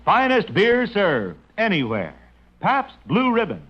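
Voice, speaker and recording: A man's voice: sits high, huge pitch swings, takes it slowly, slightly rough, soft background music. A man delivering scripted 1950s radio advertising as a commercial announcer. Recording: dull, lo-fi old radio recording.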